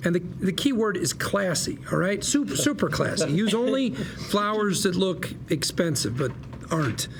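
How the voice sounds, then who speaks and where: A man's voice: high voice, sing-song, normal speed, background noise. An actor playing an eager, persuasive small-time lawyer at a table read, with salesman patter and hesitant asides. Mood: dictating. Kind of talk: live table read of a TV script.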